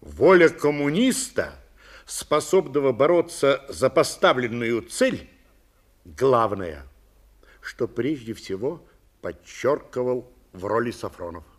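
Voice-over: a man reading a memoir, mid-pitched, expressive and measured. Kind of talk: reading a recollection.

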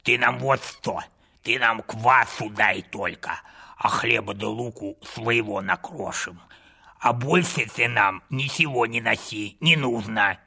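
A man voicing a coarse, lisping old male peasant with a bass voice. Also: takes it slowly, creaky, bright, forceful tone, breathy edge, full character voice. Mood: gruff.

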